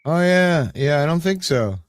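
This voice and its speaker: A man's voice: on the high side, lively intonation, unhurried, no echo, studio quality. A man in his late fifties hosting a live stream, chatting casually in a rambling, easygoing way with lots of fillers. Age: 50s. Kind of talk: casual chat.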